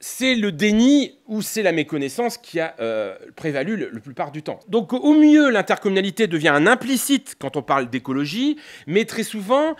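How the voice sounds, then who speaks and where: A man's voice: high voice, big pitch swings, normal speed, few pauses. A man giving an analytical, semi-improvised academic lecture, often hesitating, breaking off and rephrasing as he explains. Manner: hesitant, repeatedly breaking off and rephrasing, punctuated with rhetorical tags. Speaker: political scientist, university lecturer.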